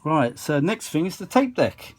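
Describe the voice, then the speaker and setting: A man's voice: a little high, big pitch swings, normal speed, faint music behind. A British man giving a casual, unscripted running commentary as a hobbyist repairer, often muttering asides to himself while he works. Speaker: hobbyist repairer presenting a video. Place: home kitchen workshop. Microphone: handheld phone.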